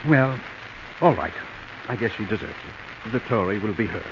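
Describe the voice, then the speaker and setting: An actor playing a gullible, lovelorn wealthy man, halting and flustered, in a 1940s radio drama. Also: lowish voice, animated. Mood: sheepish, resigned. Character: hesitant, lovelorn, gullible wealthy male collector. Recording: hissy, muffled vintage radio recording.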